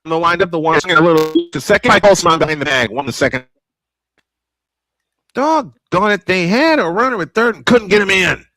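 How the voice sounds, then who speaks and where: A man's voice: sits high, lively intonation, brisk, pushed and bright, no echo. Man, old-school play-by-play caller, folksy, dry close studio mic. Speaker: play-by-play announcer. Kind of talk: live baseball play-by-play.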